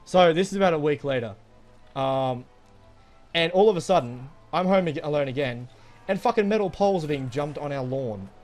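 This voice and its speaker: A man's voice: fairly high pitch, expressive, moderate pace, darkish tone. A young man casually telling a rambling, profanity-laden personal story to friends. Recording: music behind the voice. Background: background music.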